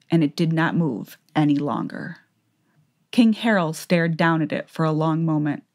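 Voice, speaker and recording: Low-voiced woman narrating a story. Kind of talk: scripted dramatic audiobook narration.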